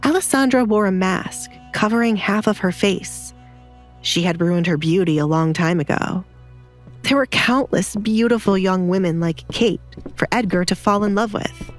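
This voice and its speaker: A woman's voice: medium pitch, normal speed. A woman narrating a scripted dramatic story as an audiobook narrator. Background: background music.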